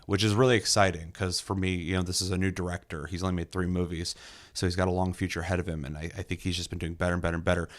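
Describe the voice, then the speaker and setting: A young man casually reviewing a movie in a relaxed, enthusiastic way, with lots of fillers and the odd curse. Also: sits low. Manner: loose and enthusiastic, many fillers, occasional swearing. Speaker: movie reviewer, podcast host. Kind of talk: casual film review chat.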